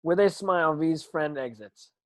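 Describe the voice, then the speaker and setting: A man narrates a screenplay at an amateur table read. His voice is on the high side and recorded dry. He reads haltingly and often stumbles over words. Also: expressive, no echo. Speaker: stage directions reader.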